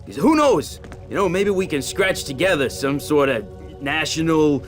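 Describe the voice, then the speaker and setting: A man plays an idealistic resistance fighter in a video game. His voice is a little high, casual and reflective, with faint music behind. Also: lively intonation, normal speed, close to an everyday voice. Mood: hopeful, resolved. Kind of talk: video game cutscene dialogue.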